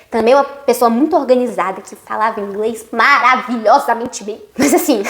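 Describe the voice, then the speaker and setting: A young woman with a Brazilian accent, a university student chatting to her viewers in a casual explanatory vlog, with lively asides. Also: a little high. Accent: Brazilian Portuguese. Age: young adult.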